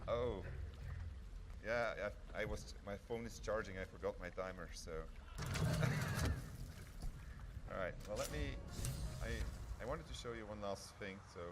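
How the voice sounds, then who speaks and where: A man's voice: fairly low pitch, measured pace, long pauses. A man speaking as a game developer at a live demo and Q&A, informal and hesitant, thinking aloud as he goes. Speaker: game developer presenting on stage. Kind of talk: live demo presentation and audience Q&A. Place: large convention stage with audience. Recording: noisy convention hall PA sound.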